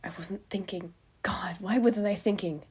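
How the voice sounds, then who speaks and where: A woman's voice: medium pitch, fairly even intonation, breathy edge. A woman reading a frantic anxious young man. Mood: despairing. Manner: panicked rapid questions, rising to shouting.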